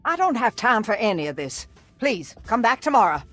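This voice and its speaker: A stern female staffer of a private institution, officious and curt. Her pitch swings widely as she takes command in this game drama, over music. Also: sing-song, normal speed, fairly bright tone. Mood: curt, flustered. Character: stern, flustered woman guarding a private institution. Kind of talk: cinematic game voice acting.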